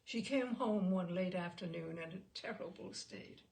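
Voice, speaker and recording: A woman's voice: lowish voice, normal speed, creaky, darkish tone. A woman reading a literary short story aloud expressively, with theatrical emphasis on key words.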